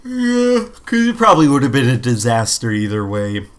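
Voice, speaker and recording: Male co-host for a casual gaming podcast: mid-pitched, informal, opinionated, wandering phrasing with lots of "like". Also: huge pitch swings, normal speed. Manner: informal, opinionated, rambling with frequent "like".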